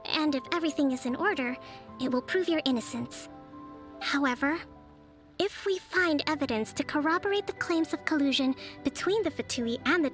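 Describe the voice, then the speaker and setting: A woman voicing a composed young leader and strategist who speaks in a measured, formal, articulate way. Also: high voice. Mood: stern. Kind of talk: game voice acting. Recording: studio voice with soft game music.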